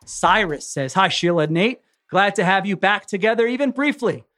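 Male sports podcaster, slightly high voice, casual host read. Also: animated, moderate pace, fairly bright tone.

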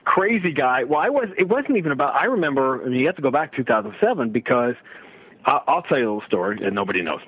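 A man talking sports casually as a broadcaster guest, telling stories and throwing out rhetorical questions in an animated way. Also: medium pitch, fast, slightly rough. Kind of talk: casual sports radio discussion. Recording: roomy, thin phone-like radio line.

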